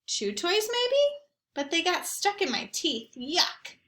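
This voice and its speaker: Woman as an eager, high-voiced young storybook dog. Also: slow pace, echoey room, exaggerated voice. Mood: anxious. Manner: expressive, earnest. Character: eager, naive, mischievous young male rescue dog. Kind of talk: first-person picture book narration.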